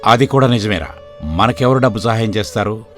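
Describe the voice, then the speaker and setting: A man's voice: fairly low pitch, lively intonation, brisk, faint music behind, natural voice. A man playing a poor older father in a village moral story, explaining things in a resigned way. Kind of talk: dramatized moral story for video.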